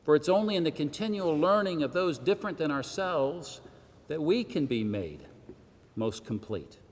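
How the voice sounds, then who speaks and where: A man delivering a formal public speech with measured, emphatic oratorical phrasing and the occasional rhetorical aside. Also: on the high side, lively intonation. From Senegal. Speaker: arts organisation president giving a launch speech. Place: church hall, addressing a gathering. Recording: long echoing reverb of a large hall.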